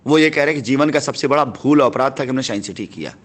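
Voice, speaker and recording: A man's voice: mid-range voice, fast talker. A man speaking as a company head on a phone call, explaining himself insistently and repeating his phrases. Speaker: company head answering a customer. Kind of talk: phone conversation, persuasive explaining.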